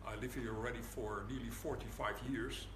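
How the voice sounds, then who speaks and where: An older man with a Dutch accent gives a public lecture as a rabbi, speaking in a wry, deliberate way and pausing for the audience's laughter. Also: mid-range voice, fairly even intonation. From Netherlands. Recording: echoey hall, audible room noise.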